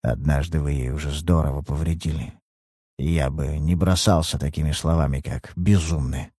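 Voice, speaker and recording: A blunt, cold private detective, as the narrator plays him, low and quiet, set back slightly from the mic. He speaks well below the reader's usual pitch and stays hushed. The recording is dry and close. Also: fairly even intonation, normal speed, breathy edge, dry recording. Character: hard-boiled male private detective, cool and blunt.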